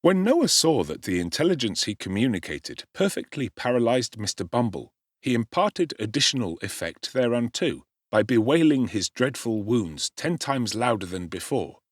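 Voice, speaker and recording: A man gives a dramatic audiobook reading of a classic novel, theatrical and expressive. His pitch rises and falls across a huge range at a mid-level register. The sound is close and dry, with no room echo. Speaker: audiobook narrator.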